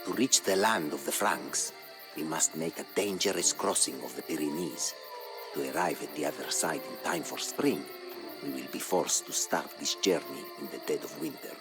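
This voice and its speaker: An actor playing an aged, solemn chronicler of military campaigns, narrating a game storyline in a grave, measured way. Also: male voice, rumbling low, very animated, vocal fry, music in the background, full character voice, rough recording. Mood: solemn resolve. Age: older. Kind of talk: dramatic scripted storyline narration.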